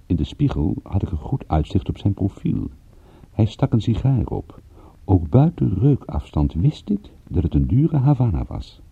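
A muffled old radio play features a wry, observant private detective. His voice is low and very husky, with a lively melodic range. He tells his case in dry, colloquial, sardonic phrases. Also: normal speed, heavily acted. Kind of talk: radio drama narration and dialogue.

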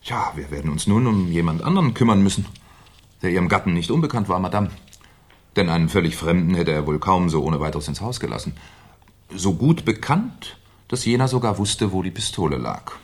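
A man's voice: low-pitched, lively intonation, brisk, husky. An actor playing a shrewd, politely ironic police commissioner in a 1970s German radio crime drama, musing and probing as he talks. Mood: musing, reasoning. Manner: musing, hesitant, slyly polite questioning.

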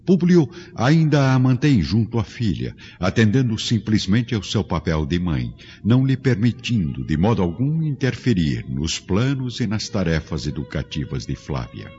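A man's voice: fairly low pitch, very animated, moderate pace, hardly any pauses, mellow, slightly rough. A man narrating a radio drama from a script in a grave, formal, theatrical storytelling manner. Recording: dull band-limited audio with music behind.

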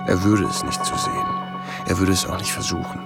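Gravelly, haunted man recounting war. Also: low-pitched, steady tone. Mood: grave, tense. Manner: terse, measured storytelling. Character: haunted half-American man recounting a wartime killing. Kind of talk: radio drama first-person narration. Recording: loud music under the voice.

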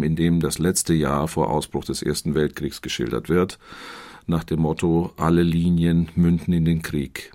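A man's voice: deep voice, a little mellow. A man speaking as a literary expert, explaining a novel in commentary style.

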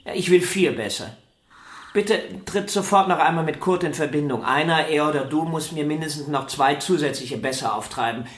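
An actor playing a vain, stubborn star violinist in a German radio play, arguing in a self-important, theatrical way. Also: male voice, a little high, normal speed, a bit bright, close to an everyday voice. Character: vain, stubborn, celebrated male violinist arguing with his sister. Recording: roomy indoor echo.

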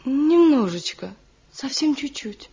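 An actress playing a tender young village wife in a radio drama, speaking softly and wistfully with a breathy voice. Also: female voice, high voice, very animated, slow pace, heavily acted. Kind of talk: stage-trained radio drama acting. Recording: muffled old radio drama recording.

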